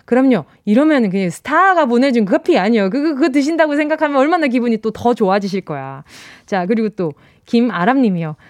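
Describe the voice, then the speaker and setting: A woman radio DJ with a slight Busan accent, chatting playfully with her listeners and laughing as she reads their messages. Her voice sits high and swings widely in pitch. The studio sound is close and dry. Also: dry recording. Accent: Busan dialect. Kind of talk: live radio show hosting, reading listener messages. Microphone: studio microphone.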